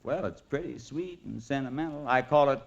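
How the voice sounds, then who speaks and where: A man's voice: mid-range voice, a little fast, creaky, muffled recording, lightly acted. A man playing an eager, breezy hipster musician and quiz contestant, talking slang in a 1950s radio comedy sketch. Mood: casual, cheeky. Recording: noisy vintage radio recording with clicks.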